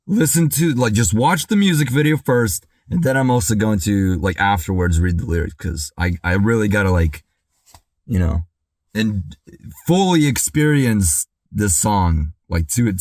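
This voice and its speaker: Excitable man recovering from a cold. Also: fairly low pitch, no room sound. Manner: excitable, says dude and bro a lot. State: recovering from sickness, clears throat. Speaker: music reaction YouTuber. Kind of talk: excited reaction commentary.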